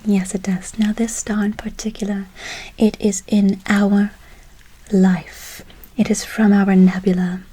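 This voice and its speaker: A woman playing a gentle, doting witch in a whispered ASMR role play, slow and soothing with a breathy voice, repeating words softly. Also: mid-range voice, little pitch movement, natural voice, rough recording. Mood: soothing, enchanting. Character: gentle doting forest witch casting a spell. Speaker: ASMR performer.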